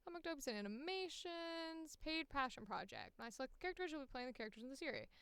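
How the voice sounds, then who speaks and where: A woman voice actor streaming in a casual, informal way, reading things aloud and thinking out loud as she comments. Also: high-pitched, big pitch swings, fast talker, no room sound.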